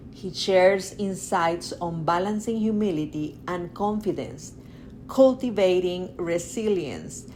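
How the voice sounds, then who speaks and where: A woman's voice: mid-range voice, a little mellow, a little hiss or hum. A woman with a non-native English accent, hosting a podcast and presenting a guest. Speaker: podcast host. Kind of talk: prepared guest introduction.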